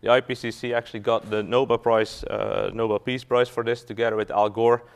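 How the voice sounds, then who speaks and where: A man's voice: mid-range voice, little pitch movement, brisk, vocal fry, smooth. A man from Belgium, a fund manager giving a business talk to an audience, hesitant with frequent fillers and restarts.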